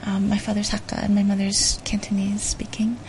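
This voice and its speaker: A woman's voice: medium pitch, monotone, a little fast, darkish tone, slight background noise. A Canadian-born woman author being interviewed on radio, thinking aloud with frequent ums and restarts. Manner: thoughtful, hesitant with many restarts and ums. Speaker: author guest.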